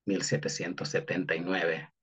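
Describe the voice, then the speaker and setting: Hombre de voz grave y ronca. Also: male voice, low voice, fairly even intonation, a bit bright, husky. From Honduras. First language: Garifuna. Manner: halting, many fillers and restarts. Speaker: guest historian. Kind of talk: interview answer, historical account.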